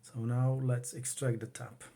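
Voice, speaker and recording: Brief: Czech man speaking English, mellow tone, plain easygoing hobbyist, home workroom, keyboard clicks behind. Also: medium pitch, level tone, vocal fry, slight background noise. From Czech Republic. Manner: unhurried, plain, talks while working the computer. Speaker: retro computing hobbyist. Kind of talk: casual home demo walkthrough.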